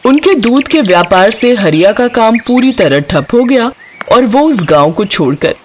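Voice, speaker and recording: A woman storyteller reading a scripted bedtime moral tale in an expressive narrating style. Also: fairly high pitch, a little slow, noisy background.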